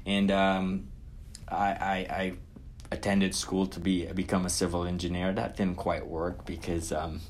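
A man, talking as an interview guest in a somewhat low voice. He tells his story earnestly and grows livelier when he retells events. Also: little pitch movement, unhurried, a little hiss or hum. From Guyana.

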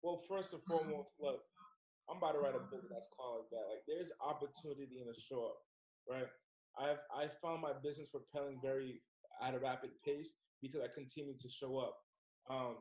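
A man's voice: medium pitch, darkish tone, roomy sound, poor audio. A man in his twenties, a guest entrepreneur, talking in a casual, emphatic, motivational way. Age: 20s. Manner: emphatic, motivational, repeats himself. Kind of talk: casual interview chat.

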